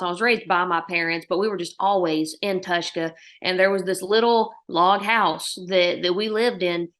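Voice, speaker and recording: A woman in her thirties from Oklahoma with an Oklahoma accent, a country musician answering interview questions in a lively, folksy storytelling way. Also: fairly low pitch.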